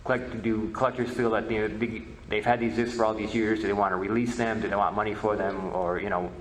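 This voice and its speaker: A man answering audience questions as a presenter at a talk, halting and repeating words as he starts. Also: fairly low pitch, little pitch movement. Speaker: panel presenter, old-time radio researcher. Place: echoey convention meeting room. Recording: roomy echo with faint noise.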